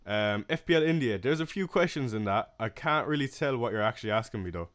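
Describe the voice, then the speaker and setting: A young man with a Waterford Irish accent chats loosely with his livestream audience. He sounds relaxed and friendly, full of fillers and small laughs as he reads comments and gives quick opinions. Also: medium pitch. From Ireland. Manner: chatty, laid-back, frequent fillers and soft laughs. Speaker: fantasy football livestream host. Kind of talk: casual live-stream chat reading comments.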